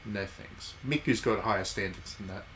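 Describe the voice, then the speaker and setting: A man's voice: on the low side, moderate pace, fairly bright tone, slight background noise, low-quality recording. A man giving casual, chatty live commentary as he streams a game, with wry asides. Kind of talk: casual live playthrough commentary, reading game text aloud.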